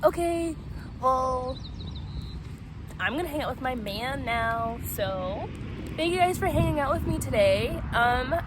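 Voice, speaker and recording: Woman outdoors, noisy background. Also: a little high. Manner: playful, chatty. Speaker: host of a book vlog. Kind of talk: casual vlog chat and novel read-aloud. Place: outdoors at a picnic spot. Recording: noisy outdoor recording with traffic ambience.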